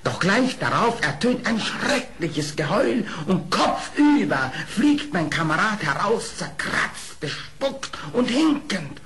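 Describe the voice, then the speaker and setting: Sly courtly fox storyteller, theatrical radio play, slow and highly animated delivery. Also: male voice, exaggerated voice. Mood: storytelling. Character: sly, smooth-talking male fox telling his adventures. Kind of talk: German radio play acting. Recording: old audio play with faint noise.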